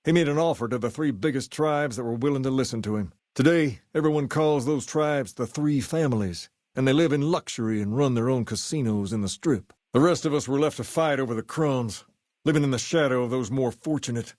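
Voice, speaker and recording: An actor playing a suave, folksy gang leader in a video game, with a drawl and a cool swagger. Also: male voice, mid-range voice, a little fast, darkish tone, no echo, studio quality, lightly acted. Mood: reflective. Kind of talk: video game dialogue acting.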